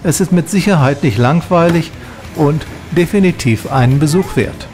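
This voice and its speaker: A man narrates a travel vlog in a scripted voice-over. His voice sits somewhat higher than most men's. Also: normal speed, a little mellow, soft background music.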